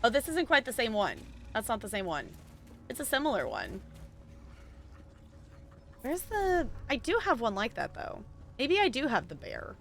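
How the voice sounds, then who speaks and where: A woman's voice: on the high side, wildly swooping pitch, normal speed, creaky, pauses often, faint music behind. A woman streaming a game, talking casually and rambling with lots of fillers and small laughs. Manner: rambling, chatty, frequent "like" and laughs. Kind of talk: casual gaming stream chat.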